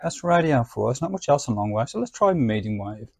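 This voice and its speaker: A man's voice: mid-range voice, very animated, brisk, noisy background. A man giving a casual, chatty spoken demonstration as a video presenter. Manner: chatty, informal. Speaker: presenter of a vintage radio video.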